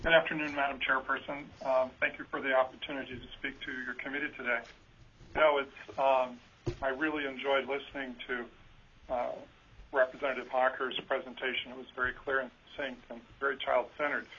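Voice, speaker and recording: A soft-spoken man, a school superintendent, giving earnest testimony at a legislative hearing with frequent ums. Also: medium pitch, level tone, brisk, a little hiss or hum. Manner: earnest, hesitant with fillers. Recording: lo-fi teleconference line.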